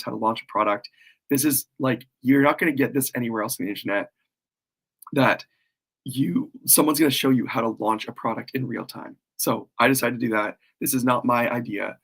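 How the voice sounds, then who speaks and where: A man with a cold and a worn, hoarse voice, rambling casually through a live stream as he launches his course. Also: mid-range voice, fairly bright tone. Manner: rambling, self-deprecating, frequent fillers.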